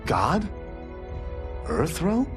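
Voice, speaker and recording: A man's voice: fairly high pitch, wildly swooping pitch, drawn-out words, over music, exaggerated voice. A man playing a brash, questioning young martial arts fighter in a video game. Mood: incredulous. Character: cocky, incredulous young male fighter. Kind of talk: video game cutscene acting.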